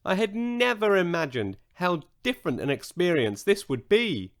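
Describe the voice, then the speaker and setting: A man's voice: high voice, very animated, normal speed. A man voicing a wise, friendly pet monkey in a storybook, speaking politely and earnestly. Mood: grateful, friendly.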